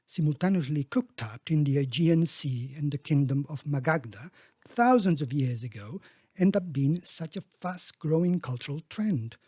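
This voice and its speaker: A middle-aged man reading his own book aloud as its author, expressively, with emphatic, deliberate phrasing. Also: a little high, warm tone, breathy edge, dry recording.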